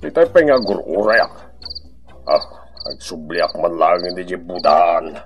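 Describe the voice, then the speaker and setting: An actor in an Ilocano radio drama playing an excitable, grumbling man who exclaims often. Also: sits low, unhurried, over music, heavily acted. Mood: muttering, menacing. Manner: exclaiming, full of interjections.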